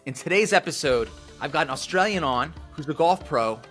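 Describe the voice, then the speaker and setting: A man's voice: fairly high pitch, lively intonation, fairly quick, over music. A man hosting a golf podcast interview in a brash, jokey, showman-like way. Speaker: podcast host.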